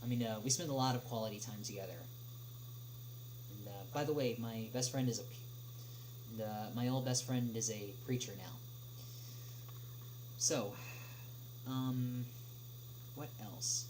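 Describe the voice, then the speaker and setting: A man's voice: mid-range voice, steady tone. Hesitant man livestreaming over noisy hum. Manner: hesitant, halting delivery with long pauses. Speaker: livestream host answering viewer questions. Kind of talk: casual livestream chat, question and answer.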